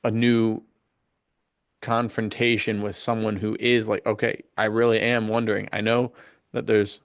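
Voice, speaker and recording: A man with a gravelly, creaky edge talks in an excited, slangy way. He chats casually, as if among buddies on a podcast, and the sound is close and dry. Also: on the low side, moderate pace, no room sound. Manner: slangy and enthusiastic, punctuated with "dude" and "like". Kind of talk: casual podcast book chat.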